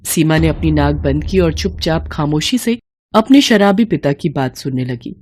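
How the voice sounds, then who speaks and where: Lower-pitched woman narrating a dramatic story. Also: normal speed, darkish tone.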